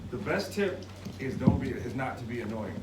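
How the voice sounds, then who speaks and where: A man's voice: mid-range voice, animated, normal speed, very noisy. A man on a panel giving blunt networking advice with a joking aside.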